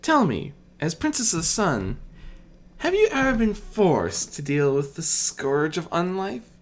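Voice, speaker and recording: A performer as a cool mischievous warrior woman, animated. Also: medium pitch, unhurried, pitched above the performer's own voice. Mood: courteous, curious. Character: blunt, confident, mischievous powerful warrior woman.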